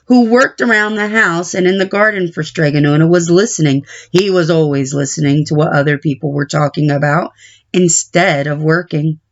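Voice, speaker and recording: A woman reading a children's picture book aloud as an expressive storyteller. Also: low voice, normal speed, highs cut off.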